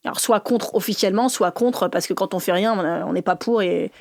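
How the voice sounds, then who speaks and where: A woman from the north of France, a politician guest in an interview, talking fast and animated with laughing asides and many restarts. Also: medium pitch, creaky, no echo, studio quality. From northern France, mining basin. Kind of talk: lively conversational interview.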